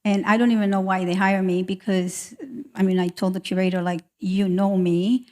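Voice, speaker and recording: A woman's voice: medium pitch, level tone, normal speed, a little mellow. A middle-aged woman from America, telling personal stories as an artist on a panel in an emphatic, candid, conversational way. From South America.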